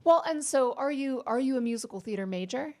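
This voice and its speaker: A woman's voice: high voice, lively intonation, fairly quick. A woman interviewing students, encouraging them and often restarting her questions.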